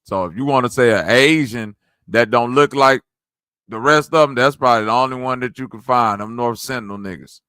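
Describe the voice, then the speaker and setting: A man riffs bluntly on a podcast, swearing and joking. His voice is bright and forceful, and the sound is dry and close to the mic. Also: mid-range voice, fairly quick, clean tone, no room sound. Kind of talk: casual crude banter.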